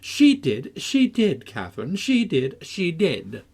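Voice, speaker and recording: A man's voice: on the high side, big pitch swings, moderate pace, darkish tone, breathy edge, voice pushed up, full character voice. A man voicing a peevish, sickly boy who whines and taunts in a self-pitying way. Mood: taunting.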